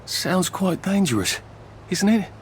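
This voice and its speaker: A man's voice: sits high, very animated, a little slow, a little hiss or hum. A man with an English accent playing a polite, timid, wry everyman hero who mutters dry, self-deprecating remarks in a video game. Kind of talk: video game cutscene acting.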